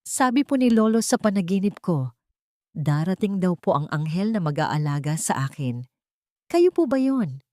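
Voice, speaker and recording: A woman's voice: on the low side, very animated, moderate pace, warm tone, no room sound, clean studio sound. A woman voicing an innocent, trusting little boy with a polite, childlike manner in an audiobook. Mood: innocent, hopeful.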